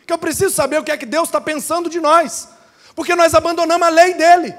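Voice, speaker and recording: A man's voice: very high-pitched, little pitch movement, quick pace, a bit bright, voice pushed up. A man acting out a distraught, fearful young king, pleading and exclaiming with repeated, impassioned questions. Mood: anguished, pleading. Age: young adult. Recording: long hall reverb.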